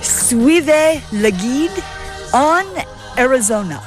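A woman's voice: high voice, expressive, extremely slow. A woman reading a scripted programme title as a jingle announcer. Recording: voice over loud music.

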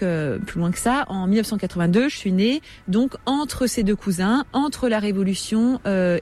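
A woman from France in her forties, a writer giving a reflective personal account, pausing often with hesitant fillers and careful rephrasing. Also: medium pitch, a little mellow, a little hiss or hum. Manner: hesitant, frequent "euh" pauses, careful rephrasing.

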